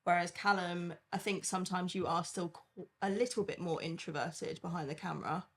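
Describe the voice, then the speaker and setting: A woman's voice: fairly low pitch, brisk. Woman, wedding photographer on a podcast, chatty and a bit bossy. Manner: bossy, lively, laughs often. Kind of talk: casual podcast chat.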